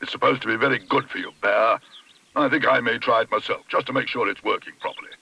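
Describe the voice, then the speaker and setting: A man's voice: medium pitch, fast, creaky, pushed and bright, breathy edge, far from the mic, exaggerated voice, poor audio. A man with a British accent voicing a grumpy, bossy, self-important male neighbour in a children's storybook, blustering and indignant. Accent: British English.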